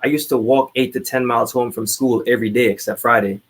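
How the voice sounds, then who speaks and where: A man chats as a podcast guest, his voice at an average pitch. He tells his stories in a candid, informal way, with casual swearing, like a veteran among friends. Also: fairly even intonation, fast talker, slightly rough. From Trinidad. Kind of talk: casual conversational storytelling.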